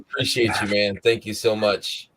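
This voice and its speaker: A man's voice: on the low side, fairly even intonation, unhurried, a bit bright. A man hosting a weekly live show in casual chat, with a scratchy morning voice, rambling, tired but upbeat.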